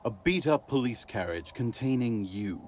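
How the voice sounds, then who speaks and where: A man's voice: medium pitch, animated, normal speed, darkish tone, a little hiss or hum, close to an everyday voice. A man playing a wry, philosophical drunkard in a video game, telling tales in a laid-back, mock-eloquent way. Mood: amused storytelling. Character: wry, boozy male alcoholic storyteller, grandiose and philosophical. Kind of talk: video game character monologue.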